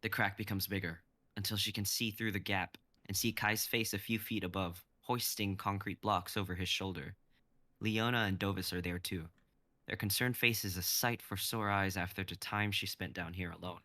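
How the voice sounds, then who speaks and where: A man in his twenties narrating a dramatic script reading in a steady, descriptive way. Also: low-pitched, moderate pace, dry recording. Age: 20s. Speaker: narrator and reader.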